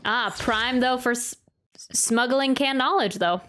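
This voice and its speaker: A woman's voice: fairly high pitch, a little slow, a bit bright, slight background noise. A woman game streamer chatting casually as she reacts, rambling and stammering while thinking aloud. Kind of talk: casual gameplay reaction chat. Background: faint game music.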